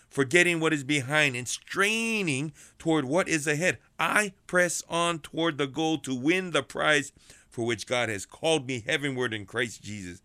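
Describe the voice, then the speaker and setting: A man preaching a fervent radio sermon to people in prison, repeating phrases and swinging between high urgent calls and low hushed passages. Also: no room sound. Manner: fervent, repetitive preaching with big pitch swings.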